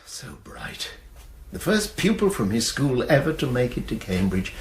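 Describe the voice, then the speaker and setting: An actor playing a shaken, dignified older head of a college, grave and hesitant, in a radio drama. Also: male voice, medium pitch, huge pitch swings, normal speed, vocal fry. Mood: sorrowful. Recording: echoey room, faint noise.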